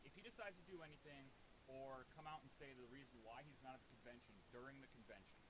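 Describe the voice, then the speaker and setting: A man's voice: medium pitch, fast talker, background noise. A man arguing confrontationally and swearing often in a heated group conversation. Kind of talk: heated group voice call argument.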